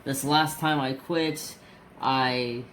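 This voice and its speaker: A man's voice: medium pitch, steady tone, drawn-out words, a bit bright, slight background noise. A man in his forties chatting casually in a rambling, storytelling way while crafting. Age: 40s. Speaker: crafting vlogger.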